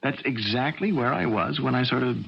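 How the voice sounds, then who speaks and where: An American man playing an earnest, bewildered college-age son in a radio drama, halting and boyish in his delivery. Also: mid-range voice, expressive, creaky. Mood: sheepish, bewildered. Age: young adult. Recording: dull, lightly noisy vintage radio recording.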